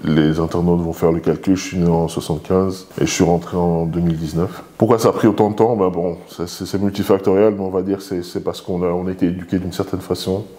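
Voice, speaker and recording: A man's voice: sits low, flat delivery, fast talker, hardly any pauses, warm tone. A man in his forties from Congo, answering interview questions thoughtfully, with many hesitations and repeated words. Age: 40s. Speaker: guest, father of the host. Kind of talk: relaxed interview talk.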